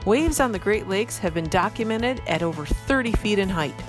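A woman's voice: mid-range voice, animated, normal speed. A woman presenting a scripted podcast segment with a light, wry delivery. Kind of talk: scripted narration. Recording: clear music bed behind her.

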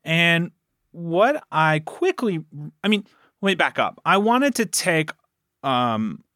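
A man's voice: fairly high pitch, sing-song, slow, bright, forceful tone, no echo, studio quality. A man speaking as a producer and game master, telling stories casually as an interview guest. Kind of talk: casual animated storytelling.

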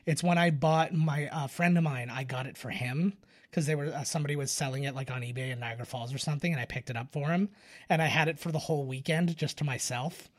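Man chatting casually with a halting delivery. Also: a little high, no echo, studio quality. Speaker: podcast co-host. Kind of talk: casual film commentary chat.

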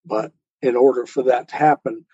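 A man speaking as an interviewed author in an earnest, measured, deliberate and reflective way. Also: mid-range voice, fairly even intonation, mellow, husky, no room sound, highs cut off.

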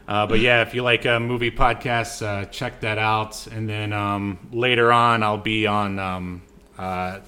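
A man's voice: on the low side, little pitch movement, measured pace, bright, forceful tone. A man hosting a podcast in casual chat, with an easygoing, rambling way of talking. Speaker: podcast host.

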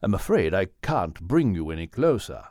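Big polite whale, lowish voice that swings around a lot, storybook, dry sound. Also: male voice, very animated, moderate pace, no room sound. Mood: discreet, polite. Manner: genteel, polite, hushed. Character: huge genteel male whale, courteous and unhurried. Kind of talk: audiobook character voice.

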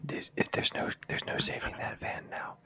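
A man's voice: moderate pace, pushed and bright. A man whispering teasing, wry comments in casual chat.

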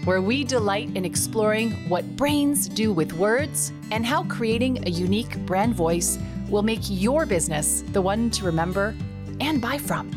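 Brief: middle-aged woman, slight Canadian accent, podcast host, enthusiastic, emphatic, faint music underneath. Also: mid-range voice. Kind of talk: scripted solo podcast monologue.

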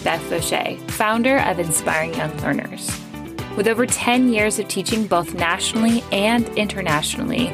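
A woman hosting an education podcast, reading a scripted intro in an upbeat, promotional way. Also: mid-range voice. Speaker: podcast host.

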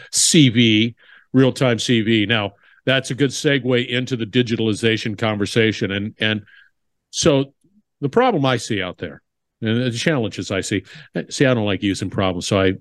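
A man's voice: lowish voice, normal speed, a bit bright. A middle-aged man hosting a podcast, upbeat and emphatic, often repeating words as he talks. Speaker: podcast host. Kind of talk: podcast intro and interview.

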